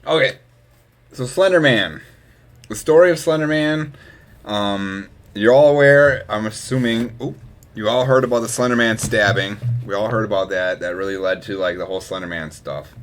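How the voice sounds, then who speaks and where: A man's voice: lowish voice, normal speed. A young man from Wisconsin, chatting casually on a live stream, rambling and laughing as his volume rises and drops. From Wisconsin, USA. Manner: rambling, laughs often, swings from loud to mumbled.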